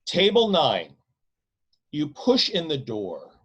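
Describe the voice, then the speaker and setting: A man reads literary prose aloud as the author of the work. His delivery is slow and emphatic, with a lively rise and fall in pitch. He places each phrase deliberately and dramatically. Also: slightly rough. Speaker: author reading own novel.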